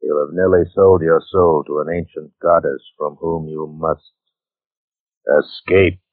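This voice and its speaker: A man's voice: extremely low pitch, level tone, measured pace. A man announcing in a dramatic, portentous 1940s radio style. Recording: muffled 1940s radio recording.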